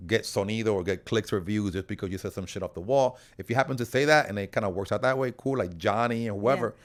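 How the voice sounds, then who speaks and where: A man's voice: fairly low pitch, fast talker. A man hosting a casual conversational interview, talking in a rambling, informal way with occasional asides. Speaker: podcast host interviewing guests.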